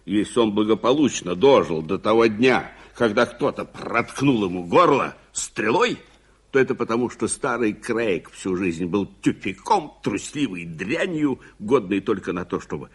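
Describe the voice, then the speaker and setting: An older male actor playing a bitter, proud old man in a radio drama, husky and theatrical, with sarcastic emphasis. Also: on the low side, expressive, normal speed. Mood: bitter self-contempt.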